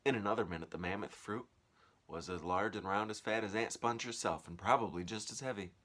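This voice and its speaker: A man's voice: lowish voice, a little fast, a bit bright. A man reading a storybook aloud like a teacher, expressively and with dramatic, varied emphasis.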